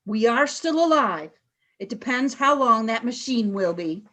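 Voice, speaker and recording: A woman acts out a female alien warrior leader in a radio play, cold and commanding. Her voice sits fairly high and she takes her time over each clipped, imperious order. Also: animated, unhurried, sharp tone, heavily acted. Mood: cold, threatening.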